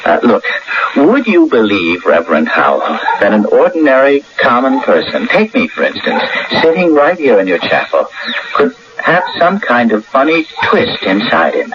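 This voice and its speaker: Troubled ordinary man, hesitant, earnest, radio drama, lively intonation, faint background noise. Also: medium pitch, normal speed. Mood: nervous, earnest. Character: anxious ordinary bookkeeper burdened with miraculous powers. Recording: dull, noisy old radio broadcast.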